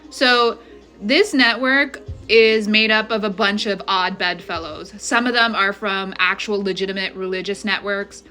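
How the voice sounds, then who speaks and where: A woman's voice: medium pitch, pushed and bright. A woman from Ethiopia talking straight to camera in a vlog, emphatic and indignant, often checking in with the viewer. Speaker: vlogger. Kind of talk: direct-to-camera monologue.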